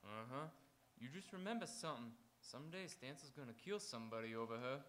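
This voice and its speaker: An actor playing a steady, watchful marshal in a live radio Western, folksy but with authority. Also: male voice, mid-range voice, quick pace, slight background noise, close to an everyday voice. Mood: firm. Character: steady, watchful frontier marshal keeping the peace. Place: auditorium stage, live radio theatre performance.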